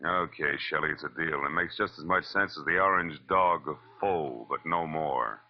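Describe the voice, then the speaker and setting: An actor playing a wry, tough private detective with an American accent in a 1940s radio noir drama. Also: male voice, rumbling low, moderate pace. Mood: dry narrating. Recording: muffled old radio recording. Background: music.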